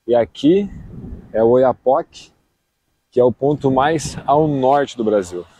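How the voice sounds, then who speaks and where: Mellow, husky southern Brazilian man. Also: medium pitch, slow, dry recording. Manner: slangy, informal. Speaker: travel vlogger. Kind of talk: casual vlog chat. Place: outdoors on an open beach and dunes. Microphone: action camera at arm's length.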